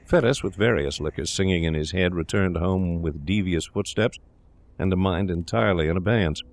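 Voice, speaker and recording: A man's voice: low-pitched, normal speed. A man reading scripted literary narration as the narrator of a gothic tale. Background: eerie ambience.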